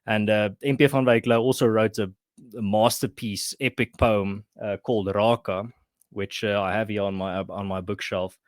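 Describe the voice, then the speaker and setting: A man's voice: lowish voice, steady tone, normal speed, no echo, clean studio sound. A man from South Africa whose first language is Afrikaans, talking as a podcast guest and writer in a thoughtful way with many restarts. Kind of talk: casual conversation.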